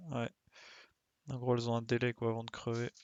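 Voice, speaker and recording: Male game streamer, gravelly voice, dry close sound, casual and slangy, talks himself through play. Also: medium pitch, completely flat delivery, fairly quick, a little mellow, no room sound, highs cut off. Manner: colloquial, mild swearing, muttering to himself. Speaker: streamer playing a video game. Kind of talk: casual gaming commentary, thinking aloud.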